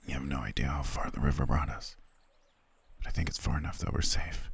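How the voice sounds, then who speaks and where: A man playing a cold, haughty soldier prince in a fantasy audio roleplay, curt and disdainful, with a gruff, breathy voice. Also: a little fast, no echo, full character voice. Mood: weary, urgent. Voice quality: very deep, gravelly, breathy. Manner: curt, disdainful, dry, low-key grumbling. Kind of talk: ASMR roleplay acting.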